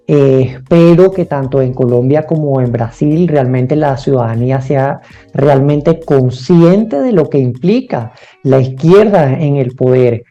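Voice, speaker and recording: A man's voice: medium pitch, dark tone, highs cut off. A man, a journalist guest on an interview podcast, speaking earnestly and urgently. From Venezuela. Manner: earnest, urgent, politically outspoken.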